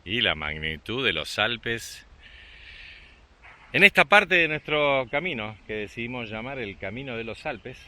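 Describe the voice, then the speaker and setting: Argentine man narrating a bright outdoor trail vlog. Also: mid-range voice, big pitch swings, measured pace. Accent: Argentine Spanish. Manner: chatty, upbeat, encouraging his child. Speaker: vlogger and father. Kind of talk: travel vlog narration while walking. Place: outdoors on a rural walking trail.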